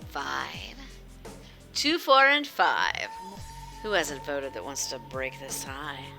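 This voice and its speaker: A woman's voice: extremely low pitch, expressive, takes it slowly, vocal fry, over music. A woman hosting a livestream in casual, informal chat, addressing viewers directly and often asking them questions. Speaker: livestream host. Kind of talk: casual interactive game stream chat.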